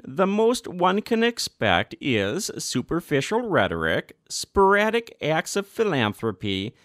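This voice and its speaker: A man reading a formal document aloud as scripted narration, with measured, deliberate phrasing. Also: medium pitch, fairly bright tone, no echo, clean studio sound. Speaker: volunteer audiobook reader.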